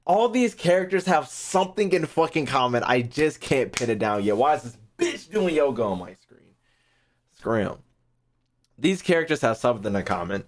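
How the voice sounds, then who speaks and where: Male streamer, mid to high register, highly animated intonation, casual profane reaction commentary, circles back over his own phrases. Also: moderate pace. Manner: profane, slangy, repeats phrases while thinking aloud. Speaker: gaming streamer.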